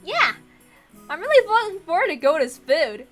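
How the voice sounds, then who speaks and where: Woman as a loud, boisterous young man. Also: very high voice, sing-song, moderate pace, soft background music, pitched above the performer's own voice, exaggerated voice. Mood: eager. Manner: loud, casual, hearty. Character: boisterous, joking, food-loving young man.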